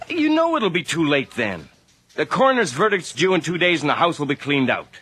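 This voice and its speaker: Casting: wisecracking, persistent reporter, animated and sharp-toned, wheedling his contacts in a radio drama. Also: on the high side, husky, no room sound, heavily acted. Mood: wry insistence. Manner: brisk, wry, cajoling. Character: persistent, wisecracking male newspaper reporter on the phone.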